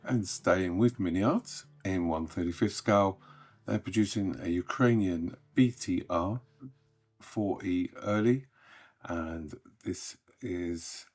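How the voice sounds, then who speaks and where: Croaky deep-voiced man. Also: slow pace, darkish tone, faint music behind. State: croaky from a recent illness. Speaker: host. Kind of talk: casual scripted hobby news update.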